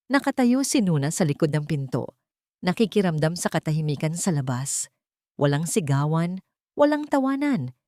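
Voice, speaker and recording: Expressive low-pitched Filipino woman narrating a story. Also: no room sound, clean studio sound. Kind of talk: scripted narration of a romantic drama story.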